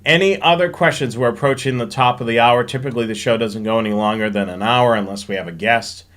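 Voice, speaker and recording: Guy hosting a live q&a stream, average pitch, chatty and explainy, kinda thinks out loud. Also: fast talker. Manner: informal, explanatory, thinking aloud. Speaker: host of a railfanning live show. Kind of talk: casual live-stream question and answer chat.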